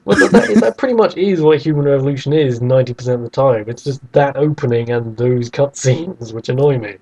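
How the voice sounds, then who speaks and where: A man's voice: mid-range voice, brisk, noisy background. A man in a casual debate about video games as a podcast host, argumentative and given to acting out imagined quotes.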